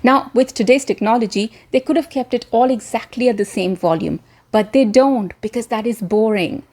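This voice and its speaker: A woman's voice: fairly high pitch, normal speed, darkish tone. A woman hosting a scripted instructional video as a communication coach, speaking in an emphatic, instructive way.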